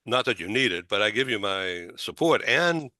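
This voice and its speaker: An older man hosting a casual panel chat, halting, putting questions to the guests. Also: on the low side, lively intonation, sharp tone, dry recording. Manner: slow, halting, questions with rising lift. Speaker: talk show host.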